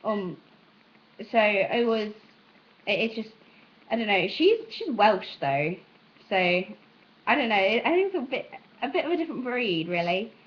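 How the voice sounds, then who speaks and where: A young woman from the American South chats in a self-taught British accent, her voice at an ordinary pitch. She rambles hesitantly, often breaking off and restarting her thoughts. The recording is dull and lo-fi, like a homemade vlog. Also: big pitch swings, unhurried. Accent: British, put on by an American. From Southern United States. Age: young adult. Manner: hesitant, self-interrupting, meandering. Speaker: amateur vlogger. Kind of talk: casual rambling chat to camera. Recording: dull, lo-fi sound.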